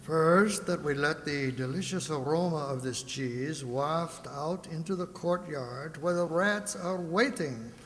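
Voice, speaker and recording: An actor playing a polite, confident merchant sea captain in a children's radio play, with a jovial, formal delivery. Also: male voice, on the high side, measured pace, hardly any pauses, a bit bright. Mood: assured. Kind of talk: children's radio drama acting. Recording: reverberant hall sound.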